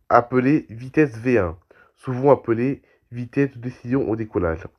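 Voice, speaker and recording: A man's voice: mid-range voice, dull audio. Man with a cold reading news. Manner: reads aloud with restarts and throat clearing. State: has a cold, coughing. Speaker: aviation news youtuber. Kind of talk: reading aviation news with commentary.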